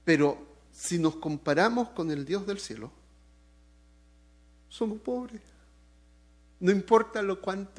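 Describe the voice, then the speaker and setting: A Chilean-accented man preaching in a slow, deliberate cadence, his voice sitting a little higher than most men's. He swings between loud, rising emphasis and soft, dropped phrase endings. Now and then he breaks off to joke with the people listening. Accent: Chilean Spanish. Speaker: pastor. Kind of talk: sermon.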